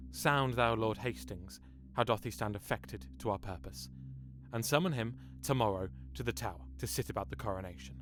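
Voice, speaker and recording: An actor playing a commanding, conspiring nobleman in Shakespearean audio drama, with deliberate, persuasive formal verse. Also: mid-range voice, fairly bright tone, lightly acted.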